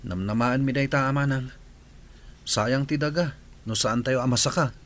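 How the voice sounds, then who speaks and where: A man's voice: medium pitch, normal speed, a bit bright, lightly acted. A man with an Ilocano accent playing a worried, regretful farmer in a radio drama. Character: worried, regretful male farmer fretting over his land.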